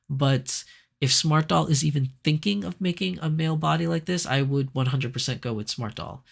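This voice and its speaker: A man hosting a casual livestream, chatting and reading viewer comments, his voice raspier than usual because he is sick. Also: fairly high pitch. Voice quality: raspy. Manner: chatty, animated, laughs easily, frequent fillers. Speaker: livestream host.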